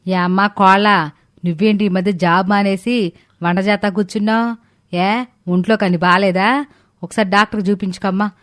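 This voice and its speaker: A woman playing a gluttonous, sly older mother-in-law in an animated story, with rustic, drawn-out exclamations. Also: medium pitch, close to an everyday voice. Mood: feigned concern. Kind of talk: animated moral story dialogue.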